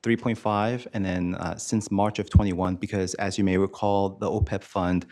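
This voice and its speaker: A man's voice: low voice, fairly even intonation, moderate pace, darkish tone. A man presenting investment figures at a committee meeting, explaining them informally and in plain terms.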